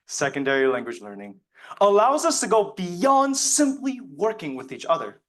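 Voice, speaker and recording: Animated young man giving a persuasive speech. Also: high voice, moderate pace. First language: Mandarin Chinese. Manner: earnest, emphatic oratorical phrasing. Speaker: student competition speaker. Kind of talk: prepared persuasive public speech.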